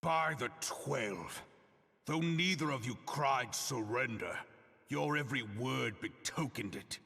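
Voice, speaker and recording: Proud weary general, fantasy game, gravelly, slow grave delivery, long reverb. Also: male voice, medium pitch, lively intonation, exaggerated voice. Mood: weary, bitter. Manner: grave, forceful, theatrical delivery. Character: battle-hardened general, proud, frank and weary. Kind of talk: video game fantasy voice acting.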